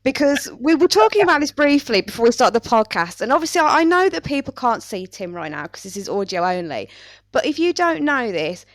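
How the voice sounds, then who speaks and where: A woman's voice: fairly high pitch, sing-song, a little fast, dry recording. A woman hosting a casual podcast chat in a chatty, wry manner. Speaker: podcast host.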